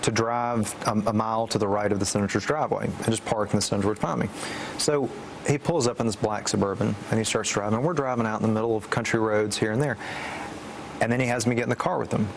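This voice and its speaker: A man's voice: on the low side, fairly even intonation, gravelly, slight background noise. A man recounting his own story as an interview guest, stammering and restarting as he goes. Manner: halting, stammering delivery. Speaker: interview guest, former political aide.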